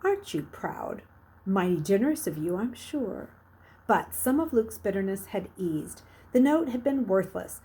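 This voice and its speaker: A woman reading a children's novel aloud like a teacher, with expressive, dramatic emphasis. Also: high voice. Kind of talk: expressive storybook narration.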